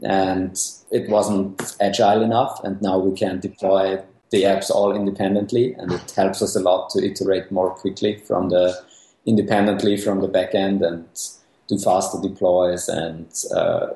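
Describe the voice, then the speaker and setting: A man's voice: low voice, level tone, moderate pace, mellow. A young man from Austria, a guest developer talking shop in a casual interview, hesitant with many restarts and fillers. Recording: echoey room.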